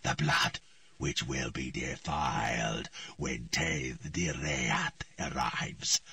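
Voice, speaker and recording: A man voicing a cold, hostile, inhuman presence speaking through a young girl, slow and mocking. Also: a bass voice, vocal fry, bright, forceful tone, husky, dry recording, clean studio sound, recorded from a distance, voice pushed down, full character voice. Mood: menacing.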